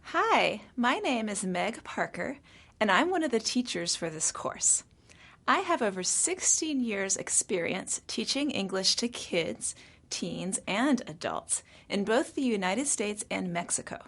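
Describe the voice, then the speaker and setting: A woman's voice: medium pitch, big pitch swings, measured pace, a bit bright. A woman English teacher introducing herself in a friendly, conversational tone. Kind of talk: scripted self-introduction.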